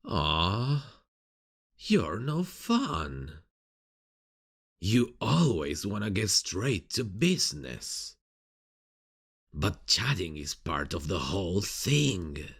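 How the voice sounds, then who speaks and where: A sadistic villain for an audio roleplay, very slow and husky. The pitch swoops playfully as the killer mocks and teases, savoring every threat. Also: male voice, sing-song, fairly bright tone, heavily acted. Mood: playful menace. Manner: mocking, teasing, drawn-out delivery with sinister chuckles. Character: sadistic, playful serial killer taunting a detective. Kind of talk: ASMR roleplay acting.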